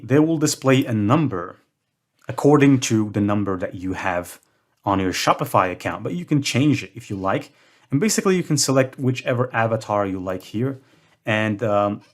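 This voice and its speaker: A young man from Morocco hosting a screen-recorded tutorial, talking in a casual way with self-deprecating jokes. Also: mid-range voice. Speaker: tutorial host.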